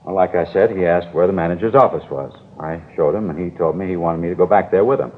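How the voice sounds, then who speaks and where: Meek, low-voiced bank guard, old radio. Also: male voice, fast. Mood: defensive, sincere. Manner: deferential, plain-spoken. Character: meek, earnest, apologetic male bank guard. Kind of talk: 1950s radio drama acting. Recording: old 1950s radio recording, narrow tinny band.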